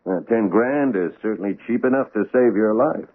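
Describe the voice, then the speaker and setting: A blunt, cynical police lieutenant. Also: male voice, fairly low pitch, moderate pace, husky. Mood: skeptical. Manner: skeptical, pressing. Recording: tinny old radio broadcast.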